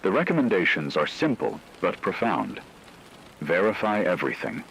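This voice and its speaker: A man voicing a grave radio news anchor, reading a scripted emergency broadcast in a formal, measured way. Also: low-pitched, very animated, vocal fry, fairly bright tone, full character voice, rough recording. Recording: radio-style broadcast with faint hiss, rain-like noise.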